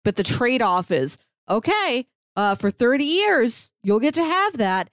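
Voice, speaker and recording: Female co-host for casual book chat: animated intonation, mid-pitched, very dry recording. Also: measured pace, dry recording. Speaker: podcast co-host.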